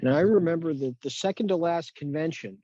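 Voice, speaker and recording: A man's voice: on the high side, brisk, dark tone. A man casually sharing memories in a group video meeting. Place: Zoom video call. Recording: dull lo-fi video call audio.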